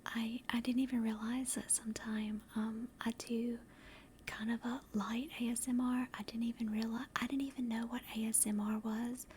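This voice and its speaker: A woman chatting casually to livestream viewers in a soft, breathy ASMR whisper, gentle and rambling, close to the microphone. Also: flat delivery, moderate pace, slight background noise. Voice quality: soft, breathy whisper and hushed low-volume speech. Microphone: close directional microphone.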